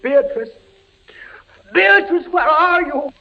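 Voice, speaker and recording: Tormented inquisition prisoner, dramatic radio horror, pitch all over, muffled lo-fi, music under it. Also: male voice, animated, vocal fry, heavily acted. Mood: frantic. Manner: halting, repetitive, feverish theatrical delivery. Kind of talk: old-fashioned radio horror drama acting. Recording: dull lo-fi radio recording with music.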